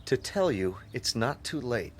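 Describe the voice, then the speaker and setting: Volatile, defiant young suitor. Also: male voice, medium pitch, big pitch swings, unhurried, slight background noise. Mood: pleading. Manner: intense, insistent. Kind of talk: radio drama acting.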